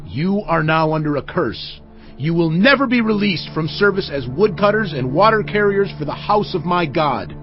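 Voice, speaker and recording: Male actor as a stern ancient commander, raised animated voice, biblical audio drama, slightly dull sound. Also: a little high, moderate pace, music in the background, lightly acted. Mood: stern anger. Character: commanding, stern male Israelite war leader. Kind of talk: dramatized audio Bible acting.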